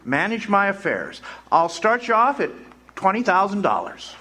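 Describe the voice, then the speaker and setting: A man playing a scheming, pompous old tycoon in an amateur stage radio drama, speaking condescendingly with drawn-out pauses. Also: high-pitched, big pitch swings, bright, forceful tone, heavily acted. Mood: persuasive.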